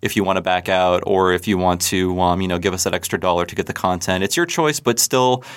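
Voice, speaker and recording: A man with a fairly low voice co-hosts a relaxed podcast chat. He rambles along in an easy, joking way, filling pauses with ums and uhs. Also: clear, resonant tone. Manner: chatty and rambling, joking, many fillers. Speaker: podcast co-host.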